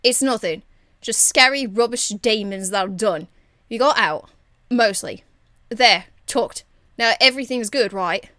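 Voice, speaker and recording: A woman voicing a cheeky, irreverent young female rogue archer in an amateur game voice demo, blunt, slangy and excitable. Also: fairly high pitch, a little slow, no room sound, studio quality. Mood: defensive.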